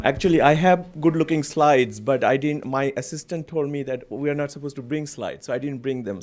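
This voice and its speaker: A man's voice: medium pitch, darkish tone. A middle-aged man from Bangladesh speaking on a conference panel, unscripted, with many fillers and some dry jokes. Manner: unscripted, halting with fillers, joking. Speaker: panelist, center director. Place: lecture hall.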